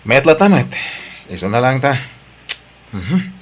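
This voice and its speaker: A working husband and father in an Ilocano radio drama. His voice sits at a middle pitch and carries an Ilocano Filipino accent. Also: big pitch swings, a little slow, breathy edge. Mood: resigned. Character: hard-working husband and father seeking work.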